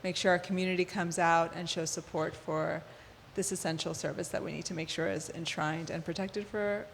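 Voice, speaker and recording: A woman hosting a community radio interview, speaking warmly and with enthusiasm, with some "um"s. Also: lowish voice, steady tone, moderate pace, creaky, in a large hall, a little hiss or hum. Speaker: host.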